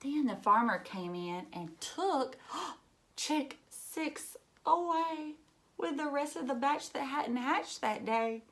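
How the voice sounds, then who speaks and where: A woman reading a children's picture book aloud in an expressive, emphatic way, with asides and questions to young listeners. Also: high-pitched, slow pace, a little hiss or hum.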